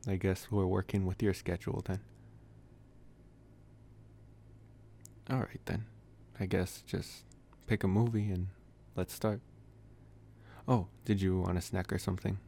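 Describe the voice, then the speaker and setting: A man acts out a young male college vampire with a lowish voice. He speaks softly and intimately, as in an ASMR boyfriend roleplay. He is possessive yet gently reassuring, with a teasing streak and halting, sighing phrasing. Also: gravelly, heavily acted. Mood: easygoing, accommodating. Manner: soft, intimate, hesitant phrasing with sighs and chuckles.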